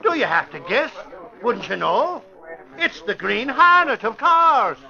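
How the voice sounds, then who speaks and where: A man acting an excitable, boisterous newspaper sidekick in a 1950s radio drama, blurting out news with emphasis. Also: very high voice, measured pace, vocal fry, soft background music, full character voice. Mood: excited, triumphant. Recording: dull old radio broadcast recording.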